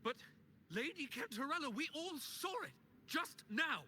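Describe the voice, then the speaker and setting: A man voicing an anxious, deferential young subordinate in a video game, nervous and halting. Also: very high voice, expressive, pushed and bright, a little hiss or hum, exaggerated voice. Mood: alarmed.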